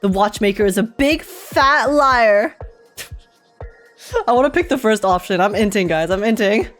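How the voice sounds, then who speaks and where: A woman's voice: mid-range voice, expressive, unhurried, fairly bright tone. A woman live-streaming a game with casual, playful, slangy reactions to what happens. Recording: faint game music behind.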